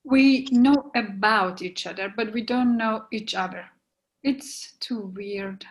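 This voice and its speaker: A woman's voice: mid-range voice, slow, natural voice, low-quality recording. A woman playing a guarded, apologetic young woman who is torn and hesitant, in a readers theatre reading. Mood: uneasy. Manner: hesitant, regretful.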